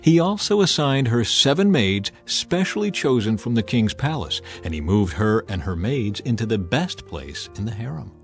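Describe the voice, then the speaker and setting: A man reading scripture as a dramatic, expressive scripted narration. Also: on the low side. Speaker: Bible narrator. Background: music.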